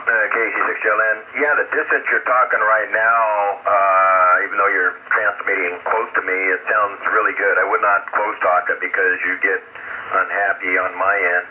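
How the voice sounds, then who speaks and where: A man's voice: sits low, flat delivery, normal speed. A man, an amateur radio operator, chatting plainly and drifting between thoughts, heard over a ham radio link from outdoors. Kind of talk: casual radio chat. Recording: tinny, static-laden radio signal.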